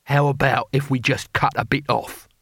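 A man's voice: medium pitch, fairly even intonation, moderate pace, gravelly, a bit bright, breathy edge, no echo, studio quality. A man voicing a blunt, bloodthirsty old barbarian in a comic fantasy audiobook, simple-minded and menacing. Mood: hopeful.

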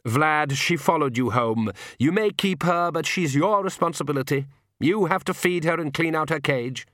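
A man's voice: fairly high pitch, normal speed, fairly bright tone, no echo, clean studio sound, voice pushed up, lightly acted. A man voicing an arrogant, urbane vampire count with a mocking, theatrically polite manner. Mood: smug.

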